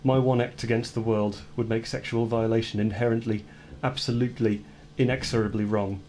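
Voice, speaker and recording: An actor playing a brooding man who confesses violent fantasies of retribution in a deliberate, intense radio play monologue. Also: mid-range voice, flat delivery, darkish tone, slight background noise, close to an everyday voice. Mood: grim, vengeful.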